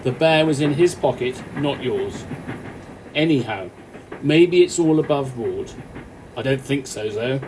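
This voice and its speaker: A man playing a calm off-duty policeman in a radio play, speaking in a measured, reassuring, authoritative way. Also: natural voice. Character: Mike, calm, commanding off-duty policeman on a train. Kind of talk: amateur radio play acting. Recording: noisy, train carriage rumble behind.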